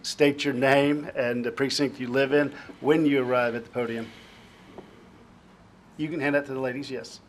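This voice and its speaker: A man's voice: medium pitch, steady tone, normal speed, a little hiss or hum. A man chairing a county court meeting, calling up speakers in a courteous, informal way. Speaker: presiding official.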